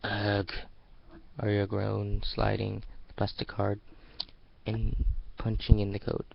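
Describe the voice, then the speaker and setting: A man's voice: fairly low pitch, completely flat delivery, measured pace, creaky, a little hiss or hum. A man giving an amateur dramatic story reading as narrator. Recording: dull lo-fi home recording.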